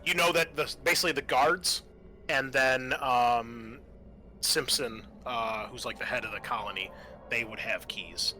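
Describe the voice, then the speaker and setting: A man acting as game master, narrating a tabletop roleplaying game to friends and explaining rules in a halting way. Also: medium pitch, sharp tone. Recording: faint music behind the voice.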